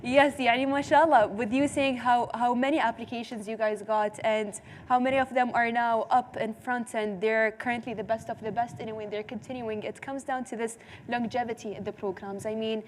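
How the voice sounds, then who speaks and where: A woman's voice: fairly high pitch, fairly even intonation, brisk, hardly any pauses. A young woman, a native Arabic speaker, moderating a panel in a casual, informal way. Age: young adult. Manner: casual, frequent "like". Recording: reverberant hall, some background noise.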